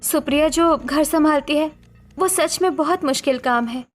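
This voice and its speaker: A woman's voice: high voice, moderate pace, soft background music, lightly acted. A woman voicing a self-assured working daughter-in-law in a cartoon story, firm and earnest. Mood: sincere, supportive.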